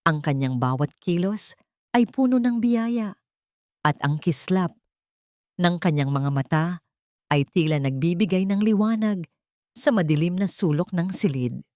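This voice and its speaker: Low-voiced woman narrating drama, dry recording. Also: animated, measured pace, pauses often, a little mellow, dry recording. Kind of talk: scripted dramatic story narration.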